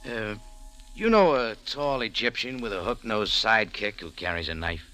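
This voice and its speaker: A man's voice: fairly low pitch, animated, normal speed, sharp tone. A man acting in a 1940s radio drama as a tough, wry American cafe owner turned sleuth, with an American accent and clipped hardboiled delivery. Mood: probing, cool. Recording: vintage radio broadcast with music.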